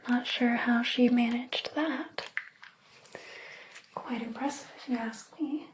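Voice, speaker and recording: A woman playing an obsessed, jealous hairdresser in an ASMR roleplay, whispering with slow, quiet menace. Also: on the high side, steady tone, slight background noise. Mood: possessive, quietly menacing.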